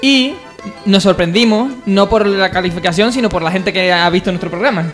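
Male comedy radio presenter, Spain Spanish accent. Notably high voice, loose chat style, light music underneath. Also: a little fast, a bit bright. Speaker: radio show co-host presenting a listener segment. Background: faint music.

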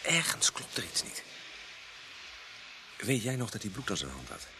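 An uneasy policeman on night watch in a radio play. His lowish voice moves a great deal as he swaps suspicions and chummy teasing, over clearly noisy background sound. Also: sing-song, moderate pace. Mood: suspicious. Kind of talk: Dutch radio play acting.

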